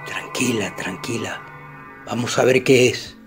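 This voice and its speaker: A medium-pitched husband played by a man in a radio drama. He sounds soothing yet tense, with faint music underneath. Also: measured pace, lightly acted. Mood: reassuring. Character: reassuring husband, uneasy in a haunted house. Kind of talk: Spanish-language radio play acting.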